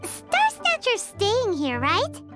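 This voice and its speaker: A woman voicing a tiny, cheeky, childlike girl fairy in a video game, with a squeaky, cutesy voice. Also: wildly swooping pitch, slow, over music, exaggerated voice. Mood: eager, curious. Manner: cutesy, refers to herself in third person.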